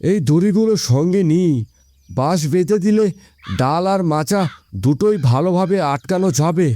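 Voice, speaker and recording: A man voicing a helpful, practical village rescuer in an animated moral story. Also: high-pitched, normal speed, no echo, close to an everyday voice.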